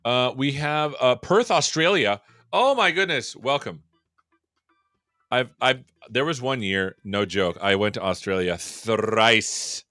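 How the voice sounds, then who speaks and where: A man hosts a live tech stream with a bright, forward voice, rambling cheerfully and cracking jokes between greetings. He sounds dry and close to the mic, with a faint bed of music under him. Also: mid-range voice, lively intonation, takes it slowly, no echo. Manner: jokey, rambling and upbeat. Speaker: show host. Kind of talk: casual live-stream chat.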